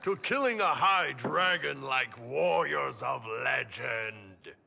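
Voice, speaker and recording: An actor playing a big, boisterous warrior mercenary in a video game, blunt and hearty, with a gravelly voice. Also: male voice, mid-range voice, lively intonation, slow pace, sharp tone, exaggerated voice. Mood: boisterous, relishing. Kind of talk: dramatic video game dialogue. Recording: long hall reverb, faint background noise.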